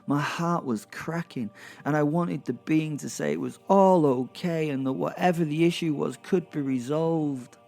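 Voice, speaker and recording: A man's voice: on the high side, gravelly, darkish tone, faint music behind. A man playing a brash, sardonic werewolf who tells his violent story in a rambling, conversational way with wry asides, in an audio drama. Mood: anguished. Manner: rambling, conversational, halting for effect, repeating words. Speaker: narrator and main character of an audio drama.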